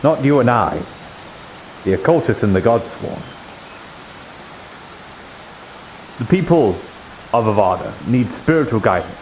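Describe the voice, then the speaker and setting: A man playing an earnest, devout, plain-spoken holy warrior in an improvised fantasy roleplay, speaking solemnly and haltingly. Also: mid-range voice, lively intonation, a little mellow, slight background noise, close to an everyday voice, low-quality recording. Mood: fervent. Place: live online VR roleplay stream.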